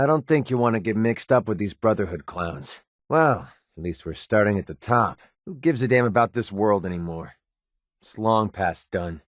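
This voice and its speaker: An actor playing a cynical, wisecracking young mercenary in a video game, with an American accent and a sarcastic, streetwise manner. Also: male voice, medium pitch, no echo, studio quality, lightly acted. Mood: wry, cocky, then weary, doubtful. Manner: sarcastic, streetwise, casual delivery. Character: cynical, wisecracking young male mercenary sniper in a post-apocalyptic wasteland. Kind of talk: video game companion dialogue.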